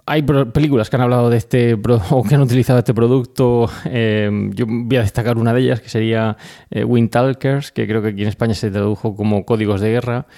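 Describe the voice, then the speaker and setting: A man with an accent from Spain hosting a podcast, explaining things informally and pausing hesitantly mid-sentence. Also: mid-range voice, steady tone, dark tone. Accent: Spanish from Spain. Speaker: podcast host.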